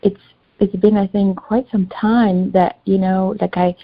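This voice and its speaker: A woman's voice: mid-range voice, flat delivery, unhurried, dry recording, muffled recording. A woman whose first language is Albanian, sharing her struggles with a healer in a hesitant voice, often searching for words. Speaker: client in a healing session. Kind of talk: personal sharing in a one-on-one session.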